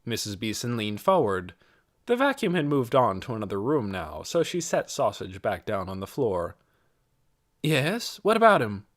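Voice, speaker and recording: A man's voice: fairly low pitch, big pitch swings, normal speed. A man reading a story aloud as scripted audiobook narration. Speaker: audiobook reader.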